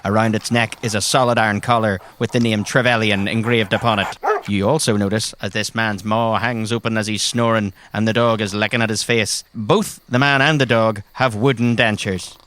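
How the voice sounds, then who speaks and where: A man's voice: fairly low pitch, steady tone, moderate pace, hardly any pauses, a bit bright. A man narrates a tabletop adventure dramatically in the second person as game master. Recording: music bed behind the voice. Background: background music.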